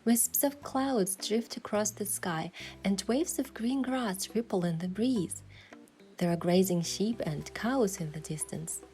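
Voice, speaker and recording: A woman's voice: medium pitch, measured pace, a little mellow, faint music behind, natural voice. Woman acting a wistful young hunter heroine. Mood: reflective, descriptive. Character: young female hunter heroine, earnest, wistful, playfully cheeky. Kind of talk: first-person story narration and game dialogue.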